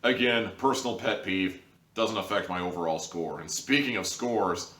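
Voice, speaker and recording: A man's voice: low-pitched, normal speed, a bit bright. A man giving a casual, opinionated review in an emphatic, wry, conversational way. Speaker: audio drama reviewer, host. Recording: roomy, distant microphone.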